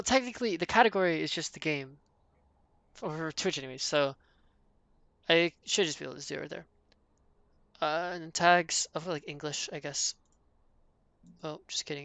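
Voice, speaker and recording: Rambling streamer with a gravelly, dry voice. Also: mid-range voice, fairly bright tone, no room sound. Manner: rambling, thinking aloud while setting up. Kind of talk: casual live-stream chatter.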